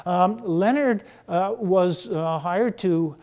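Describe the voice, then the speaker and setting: A middle-aged American man, a children's book author, speaking in an interview in an unhurried, thoughtful way, with many fillers. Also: high-pitched, a little mellow. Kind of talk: interview conversation about his book.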